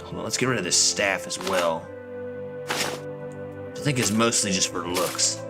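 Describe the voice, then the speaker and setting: A man with a fairly low voice commentates casually on the game he is playing, grumbling and swearing as he goes. Game music plays clearly behind him. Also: a little slow. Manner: profane, grumbling. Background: video game music.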